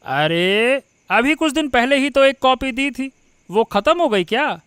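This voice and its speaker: A man's voice: very high-pitched, lively intonation, a little slow, a bit bright, smooth, no echo, exaggerated voice. A man voicing a harsh, dismissive village father in a cartoon story, blunt and scolding. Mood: dismissive, irritated. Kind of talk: Hindi cartoon story voice acting.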